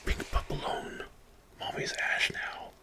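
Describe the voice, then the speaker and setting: A man voicing a menacing, taunting tentacled monster in a rasping whisper, in short broken phrases for an ASMR story. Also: takes it slowly, natural voice. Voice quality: whispered rasp.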